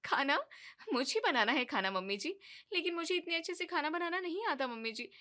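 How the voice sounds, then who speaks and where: A woman's voice: high-pitched, lively intonation, a little fast, sharp tone, pitched above the performer's own voice. A woman voicing a carefree, cheeky young daughter-in-law in a cartoon story, talking breezily and mixing in English words.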